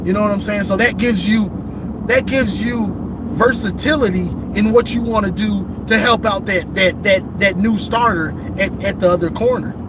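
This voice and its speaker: High-voiced man talking over loud road noise. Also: normal speed. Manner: rambling, repeats himself, says "you know what I'm saying". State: losing his voice. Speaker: football show host. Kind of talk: casual football talk. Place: in a vehicle on a bridge.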